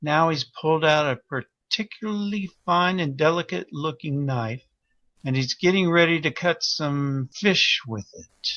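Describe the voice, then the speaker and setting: A man's voice: on the high side, a little slow, pushed and bright, no echo, highs cut off. A man playing a flustered, self-important and oblivious interviewer in a comic audio drama, fussy and earnest in delivery. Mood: earnest narrating.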